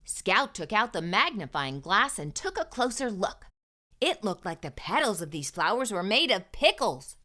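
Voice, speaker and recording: A woman reading a storybook aloud to young children, with expressive, emphatic delivery and deliberate phrasing. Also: mid-range voice.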